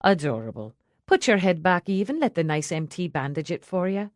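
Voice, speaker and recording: A woman's voice: fairly low pitch, big pitch swings, no echo. A woman as a suave, dryly amused husband. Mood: calm, dry. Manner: mild, dry, unhurried. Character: suave, mild, wryly amused devoted husband.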